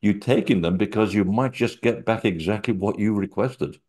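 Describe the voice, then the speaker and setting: A man's voice: lowish voice, moderate pace, darkish tone. A middle-aged man from Portugal with a mixed Portuguese, British and North American accent, talking animatedly with many fillers on a video call. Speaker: guest researcher.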